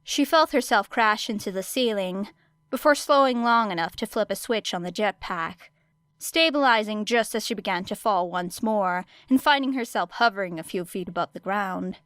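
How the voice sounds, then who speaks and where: A woman's voice: medium pitch, normal speed, fairly bright tone, dry recording, studio quality. A woman reading scripted fiction as an audiobook narrator.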